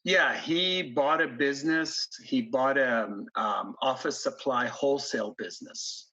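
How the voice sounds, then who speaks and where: A man in his fifties from Iran talks through his past as a podcast guest, pausing often with ums and restarts. His voice is husky and mid-pitched. The recording sounds dull and lo-fi. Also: bright, forceful tone. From grew up in Iran, born in the US. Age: 50s. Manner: halting, many ums and restarts. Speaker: guest investor. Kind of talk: casual interview storytelling.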